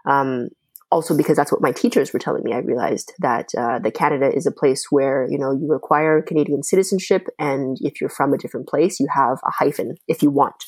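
A woman's voice: extremely low pitch, fairly quick, darkish tone, husky, no room sound. A young woman from China, a Mandarin native speaker, talking thoughtfully in an interview with many fillers and restarts. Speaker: podcast host, guest. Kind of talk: casual interview talk.

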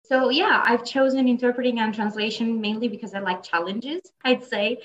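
A woman's voice: a little high, steady tone, moderate pace, a bit bright, lo-fi sound, slight background noise. Italian-accented woman, chatty podcast guest. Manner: chatty, laughs often, many fillers and restarts. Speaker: guest interpreter and translator. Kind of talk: casual podcast interview.

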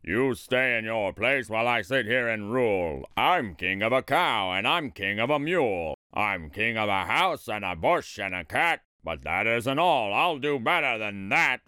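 A man voicing a boastful, power-hungry turtle king from a children's storybook, with pompous, commanding, drawn-out proclamations. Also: lowish voice, expressive, sharp tone, clean tone, dry recording, clean studio sound. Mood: angry, commanding.

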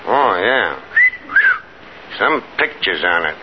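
A man's voice: deep voice, big pitch swings, slow pace, exaggerated voice. A man playing a brash, scheming, wisecracking tavern manager in a 1950s radio comedy, slangy with pompous big words. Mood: wry, wisecracking. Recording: noisy, muffled old radio recording.

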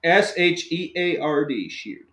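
A man's voice: on the high side, animated, unhurried, a bit bright. A man hosting a podcast, recapping a movie in a casual, joking, profane way. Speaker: podcast host. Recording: roomy echoey room.

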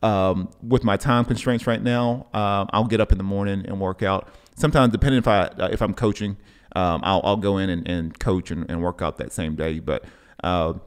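A man hosting a podcast in casual conversation, speaking in a halting, reflective way and often repeating words. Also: deep voice, fairly even intonation, clean tone. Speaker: podcast host, coach. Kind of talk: casual interview chat.

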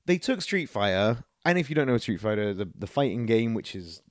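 Animated, jokey man with lively, swooping pitch. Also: a little fast, no room sound, studio quality. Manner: animated, jokey, acts out imagined quotes. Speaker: podcast co-host. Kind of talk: casual chat, film and games banter.

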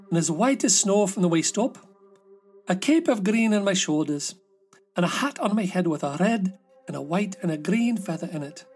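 A man's voice: sits high, darkish tone, slightly rough. Man as earnest young fairy-tale heroine.

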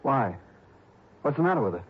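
An actor with an American accent playing a chummy, slangy insurance office man in a 1960s radio drama, with a husky voice. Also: mid-range voice, sing-song, a little fast, a little hiss or hum, natural voice. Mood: puzzled. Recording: dull vintage 1960s radio recording.

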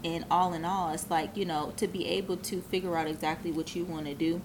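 A woman's voice: on the low side, little pitch movement, a little fast, noisy background. A woman in her twenties, a business owner, talking earnestly in a casual interview with plenty of fillers. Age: 20s. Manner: earnest, reflective, many fillers.

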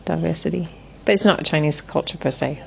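A woman in her forties, a guest in an interview, talking in a thoughtful, measured way with frequent restarts. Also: sits low, creaky, low-quality recording. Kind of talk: reflective interview conversation.

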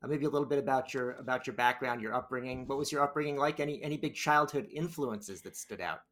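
A man's voice: medium pitch, quick pace, a bit bright. A man hosting a business podcast, reading a scripted introduction and then asking his guest questions in a loose, conversational way. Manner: conversational, with fillers and restarts when asking. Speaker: podcast host.

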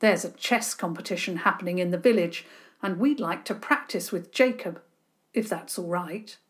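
A woman's voice: lowish voice, moderate pace, natural voice. Woman voicing a firm, sensible boy. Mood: persuasive. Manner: firm and bossy.

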